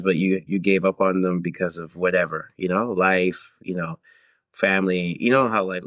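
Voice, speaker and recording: A man's voice: low-pitched, dry recording. A man from Toronto, talking as an interview guest in a conversational, reflective way and often checking in with the listener. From Toronto, Canada. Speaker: podcast guest, singer-songwriter.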